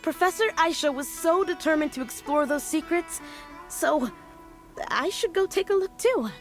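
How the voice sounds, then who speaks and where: Earnest young scholar, fairly high voice, resolved tone, soft game music behind. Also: female voice, lively intonation, moderate pace. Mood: determined. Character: earnest young female scholar setting out to explore. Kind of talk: video game voice acting.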